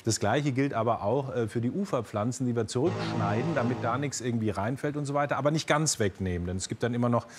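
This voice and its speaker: A man's voice: mid-range voice, fast talker, few pauses, over music. A man hosting a quiz show, explaining answers in an unscripted way.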